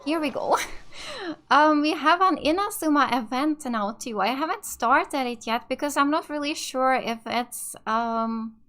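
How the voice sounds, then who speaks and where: A woman's voice: sits high, a little slow, fairly bright tone. A woman from Finland with a Finnish accent giving casual, slightly hesitant let's play commentary as a gaming YouTuber. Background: game music.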